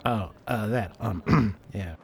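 An actor playing a brooding, gruff war-veteran detective in a radio drama. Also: male voice, fairly low pitch, takes it slowly, slight background noise. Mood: sheepish.

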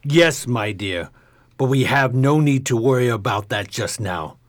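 A man's voice: mid-range voice, lively intonation, measured pace, close to an everyday voice. A man playing a genteel, brave old stage magician in a radio play, with a formal, avuncular delivery. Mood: calm, grave.

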